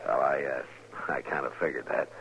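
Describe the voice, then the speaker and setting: An actor playing a weary, authoritative American frontier marshal, laconic and dry, in a 1950s radio western. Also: male voice, a bass voice, fairly even intonation, moderate pace, a bit bright. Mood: wry. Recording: hissy muffled 1950s radio recording.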